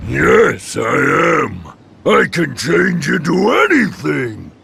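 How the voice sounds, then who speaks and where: Very gravelly, slow, boastful ogre. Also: male voice, on the high side, big pitch swings, a little hiss or hum, exaggerated voice. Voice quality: gravelly, growling. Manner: slow, loud, bragging. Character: big gruff boastful ogre, menacing and gullible. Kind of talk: children's animated fairy-tale acting.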